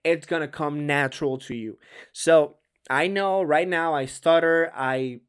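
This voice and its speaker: A man's voice: a little high, unhurried, smooth. A man with a Spanish accent, a native Spanish speaker, giving a casual diction lesson in conversational English with emphatic stretched words and some stumbles. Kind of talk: casual instructional talk to camera.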